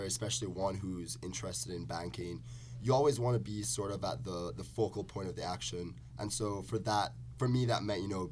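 A young man from Jamaica giving conversational interview answers as a student guest. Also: low-pitched. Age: young adult. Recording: clearly audible background noise.

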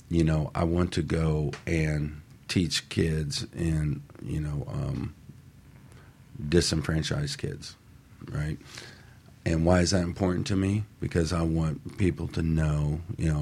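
A man with an extremely low voice that is rough and husky. He talks casually and motivationally as a radio show co-host, leaning on short, emphatic repeated phrases. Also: darkish tone.

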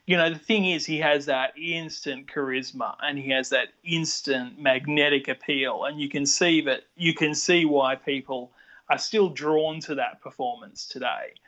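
A man's voice: a little high, bright, forceful tone. An older man with an Australian accent, chatting casually on a podcast, rambling with many restarts. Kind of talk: casual chat. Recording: dull lo-fi sound.

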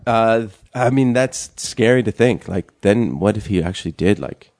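A young man co-hosting a casual chat show, talking haltingly with many fillers and restarts. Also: fairly low pitch, a little mellow, dry recording, studio quality. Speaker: podcast co-host.

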